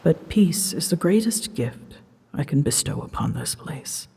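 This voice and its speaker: A woman playing an ancient female dragon in a fantasy ASMR drama, solemn and tender, speaking softly in measured, formal phrases and often near a whisper. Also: low voice, sing-song, full character voice. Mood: solemn, quiet. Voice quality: soft, breathy, husky, often dropping into a whisper. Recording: long cavernous reverb.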